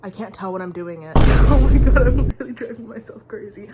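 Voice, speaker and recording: A woman's voice: fairly high pitch, animated, brisk, very noisy. A young woman vlogging in casual, rambling chat, sniffly and full of "like". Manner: rambling, chatty, heavy use of "like".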